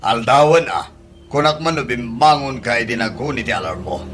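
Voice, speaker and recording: A man's voice: medium pitch, normal speed, a bit bright, slight background noise, lightly acted. A man with an Ilocano accent playing a nagging older male relative in a comedy radio drama. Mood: questioning, reproachful.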